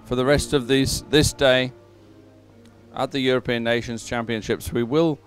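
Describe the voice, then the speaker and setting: Male live sports commentator, mid-pitched, halting delivery as he gives updates and results, faint music underneath. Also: fairly even intonation.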